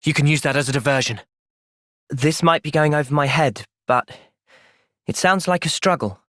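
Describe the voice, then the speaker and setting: A young man playing a thoughtful, earnest young soldier in video game cutscenes, speaking in a gentle, reflective manner. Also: on the high side, normal speed, no room sound, studio quality, close to an everyday voice. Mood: calm, reflective.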